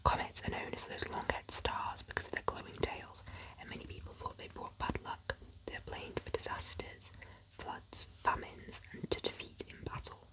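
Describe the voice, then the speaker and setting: A woman reads a fact book aloud in a close, breathy ASMR whisper. She lingers on repeated words and slips in chatty, mildly sarcastic comments. A stuffy, sniffly nose from a cold or hay fever comes through now and then. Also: rough recording. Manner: soft whisper, repeats words slowly for trigger effect; chatty asides, lightly sarcastic. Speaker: ASMR creator.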